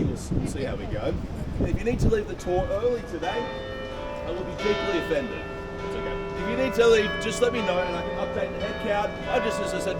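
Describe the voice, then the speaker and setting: A man guiding a walking tour outdoors in a city square, with quick, jokey, upbeat patter. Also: fairly high pitch. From Australia. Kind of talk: outdoor guided tour talk. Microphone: action camera. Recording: distant voice over loud street noise.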